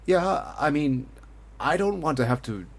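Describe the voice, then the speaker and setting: A man's voice: on the high side, animated, a little slow. A man playing a weary, irritable musician in an audio drama, evasive and withdrawn in manner. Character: weary, irritable male musician, leaving his country, evasive lover. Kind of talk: audio drama acting, monologue and domestic dialogue. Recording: music under the voice.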